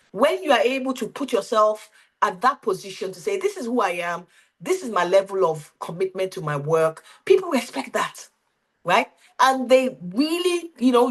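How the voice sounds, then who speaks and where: A woman's voice: a little high, a bit bright. A woman facilitating a career workshop on a video call, who keeps checking in with the group and asking questions. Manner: coaching, asks the group frequent questions.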